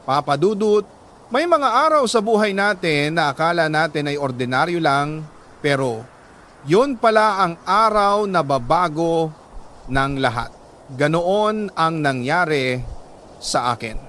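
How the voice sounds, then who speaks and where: A man with a Filipino accent reading a scripted first-person story like a radio storyteller, earnest and measured, with dramatic pauses. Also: a little high, animated, a bit bright, slight background noise. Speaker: radio-style storyteller reading a letter sender's love story.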